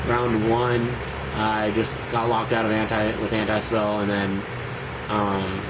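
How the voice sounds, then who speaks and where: A man's voice: lowish voice, noisy background. A young man with a cold and a nasal voice, rambling casually through a card deck review with lots of fillers and restarts. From Seattle area. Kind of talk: casual deck profile vlog.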